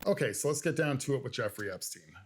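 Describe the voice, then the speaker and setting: Man giving lively political commentary. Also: medium pitch, fast, husky. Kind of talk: opinionated political monologue to viewers.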